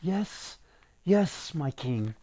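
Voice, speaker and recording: A man voicing an earnest priest's wife in a folktale, pleading respectfully and with measured emphasis for her child. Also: on the high side, big pitch swings, mellow, heavily acted. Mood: eager.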